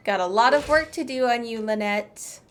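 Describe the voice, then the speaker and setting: A woman's voice: on the high side, moderate pace. A woman doing casual, playful gameplay commentary as a streamer, chatting away to herself. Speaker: game streamer. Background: game music.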